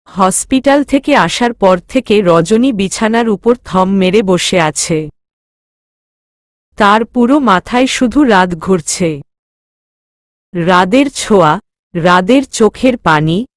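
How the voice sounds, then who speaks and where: Slow-paced woman narrating a dramatic story. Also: medium pitch, lively intonation, no room sound, studio quality.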